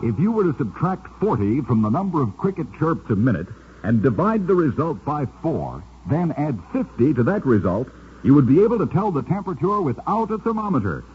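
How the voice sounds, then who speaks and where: A man's voice: fairly high pitch, very animated, a little fast, breathy edge. A man narrating scripted curiosities for an old-time radio show, with a dramatic, emphatic storyteller delivery. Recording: dull, noisy vintage radio recording.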